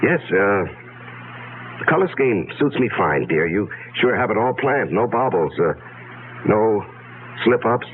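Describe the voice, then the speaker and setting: A man's voice: lowish voice, big pitch swings, unhurried, pauses often. An actor playing a nervous, evasive businessman in a radio drama, a man who hesitates and repeats himself. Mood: guarded, uneasy. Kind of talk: 1970s radio drama acting. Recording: tinny, hissy 1970s radio broadcast.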